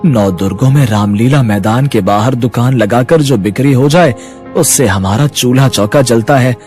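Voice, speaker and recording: A man's voice: mid-range voice, normal speed, a little mellow, soft background music, lightly acted. A man voicing a worried poor toy seller. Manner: anxious, pleading. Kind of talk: cartoon voice acting.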